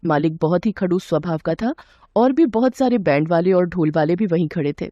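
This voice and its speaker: A woman's voice: on the low side, a little fast, warm tone, dry recording, dull audio. A woman reading a scripted moral bedtime story as its narrator.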